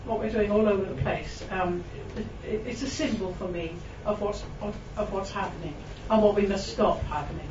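Welsh woman poet in a roomy hall. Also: medium pitch. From Wales. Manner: chatty and self-mocking, with frequent ums and laughs; slow, lingering delivery when reading verse. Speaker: poet giving a reading. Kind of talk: poetry reading with chatty asides. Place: room with a live audience. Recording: distant, roomy, dull recording with background noise.

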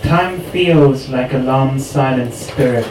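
A man from Ireland reciting his own poem at an open mic in a slow, even recitation. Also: medium pitch. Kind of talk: spoken word poetry reading. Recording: echoey room, distant mic, clear noise.

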